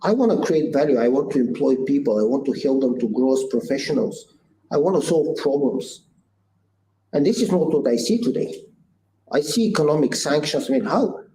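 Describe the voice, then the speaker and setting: A Bulgarian-accented man in his sixties speaks earnestly and thoughtfully, pausing often on "uh" and restarting his phrases. His voice is mellow and dark at a middle pitch. It sounds like a roomy, echoey space with a faint hiss behind him. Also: lively intonation. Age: 60s. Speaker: guest entrepreneur. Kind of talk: conversational interview answers. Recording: echoey room with faint noise.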